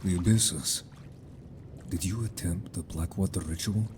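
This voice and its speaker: A man's voice: low-pitched, unhurried, noisy background. A man playing a stern but caring, protective merman in a fantasy audio drama, speaking firmly and formally. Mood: solemn. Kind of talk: scripted audio drama acting.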